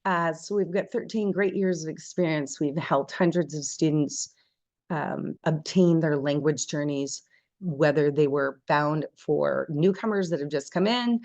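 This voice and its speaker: Host voice for an online session: a woman from Canada with a lowish pitch, friendly, on a dry-sounding video call. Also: a little slow, a little mellow, dry recording. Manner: friendly, moderating introductions.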